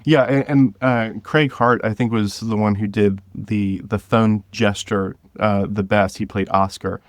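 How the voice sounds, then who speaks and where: A man speaking as an interview guest, telling stories about directing in a casual, halting way with some chuckles. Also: lowish voice, fairly even intonation, no room sound. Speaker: guest, director of an audio drama podcast.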